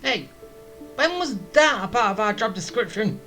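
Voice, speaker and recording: A man's voice: high-pitched, very animated, normal speed, bright, forceful tone. Guy doing a game playthrough, casual, reacting to dialogue, music behind him. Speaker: gamer commenting on playthrough.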